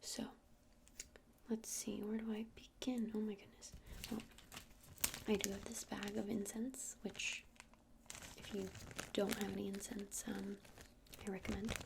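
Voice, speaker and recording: A woman whispering softly and breathily in an ASMR roleplay as a friendly witch shopkeeper, explaining things in a gentle, hesitant, rambling way. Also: medium pitch, little pitch movement, a little slow, background noise. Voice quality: breathy unvoiced whisper with creaky edges. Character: friendly witch shopkeeper selling crystals and incense. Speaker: ASMR roleplayer playing a crystal shop witch.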